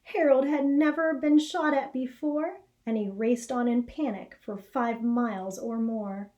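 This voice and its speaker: Female storybook reader, middle-aged, soft mellow tone, slow measured delivery with expression. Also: fairly high pitch, lo-fi sound. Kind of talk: read-aloud children's story narration. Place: small indoor classroom or office.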